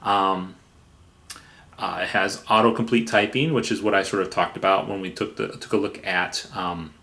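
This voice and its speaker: A man hosting a software review in a casual, informal way, somewhat halting, with playful repetition for emphasis. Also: low-pitched, steady tone. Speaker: software reviewer and video host.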